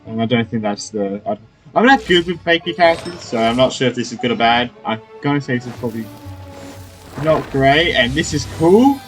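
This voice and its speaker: Casting: young male game streamer, lively mid-range voice, casual off-the-cuff exclamations and mutters, light game music underneath. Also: mid-range voice, fairly quick, rough recording. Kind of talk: casual gameplay commentary.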